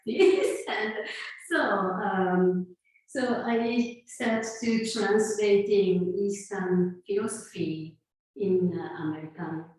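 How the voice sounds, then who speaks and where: A woman in her fifties who grew up in Japan and speaks English with a Japanese accent. She talks in an unhurried, halting way, with soft laughs between thoughts, as a guest in an interview. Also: medium pitch. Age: 50s. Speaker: guest, sound healer. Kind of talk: conversational interview. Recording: roomy echo, far from mic.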